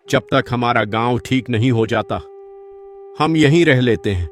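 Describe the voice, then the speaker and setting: Humble, God-trusting elderly uncle played by a man, animated folk tale; earnest, mid-pitched, music bed. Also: unhurried, natural voice. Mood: reassuring. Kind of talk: Hindi animated moral-story dialogue. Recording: music behind the voice.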